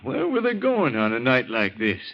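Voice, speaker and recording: A man's voice: mid-range voice, wildly swooping pitch, normal speed. An American actor playing a folksy, worried, helpful country man in an old-time radio drama, plain-spoken. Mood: puzzled, sympathetic. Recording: muffled, noisy vintage radio recording.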